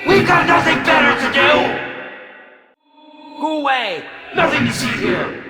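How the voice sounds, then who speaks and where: An actor playing a theatrical, impatient and sinister film director in a video game, with a hammy, bossy, sarcastic manner. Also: male voice, very high voice, expressive, a little slow, gravelly, heavily acted. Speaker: game villain and announcer. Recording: echoey hall reverb with music.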